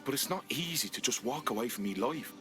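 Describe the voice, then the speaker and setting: A man's voice: medium pitch, lively intonation, fairly quick, bright, forceful tone, faint music behind. A man acting a reluctant young everyman recruit in a video game, with a British accent and casual, slangy delivery. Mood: hesitant.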